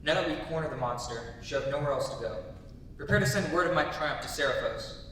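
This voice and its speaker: A man's voice: brisk, fairly bright tone, close to an everyday voice. A man playing a boastful but insecure young hero in a dramatic stage reading, proud and earnest. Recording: very echoey hall, distant mic, rumbly noise.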